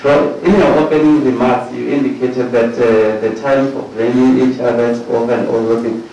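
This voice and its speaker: A South African man on a commission panel, asking questions at a formal hearing in a halting way and repeating words. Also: mid-range voice, mellow. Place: large hearing room. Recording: echoey hall from far off, faint noise.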